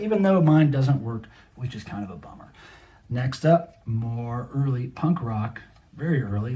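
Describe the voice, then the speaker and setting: A man's voice: mid-range voice, warm tone. Middle-aged man, casual show-and-tell host, enthusiastic fan manner, mid-range voice, speaking directly to viewers. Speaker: video host, cassette collector.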